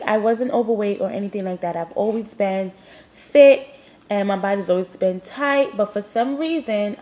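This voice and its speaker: A woman's voice: medium pitch, mellow. A young woman hosting her own show, talking bluntly and with emphasis straight to her listeners; she sounds nasal and sniffly from a cold. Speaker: podcast host. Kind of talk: candid motivational monologue.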